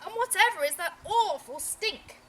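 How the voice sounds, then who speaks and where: Woman voicing bookish father, children's storybook, high-pitched, bright, emphatic, quiet-loving. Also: animated, moderate pace, a little hiss or hum. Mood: exasperated.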